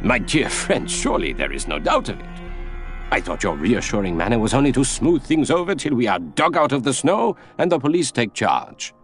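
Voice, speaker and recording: Man doing an excitable, high-swooping Continental gentleman. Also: moderate pace, soft background music, close to an everyday voice. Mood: confident. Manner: emphatic, exclamatory, swooping. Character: excitable, self-assured Continental gentleman, easily flustered.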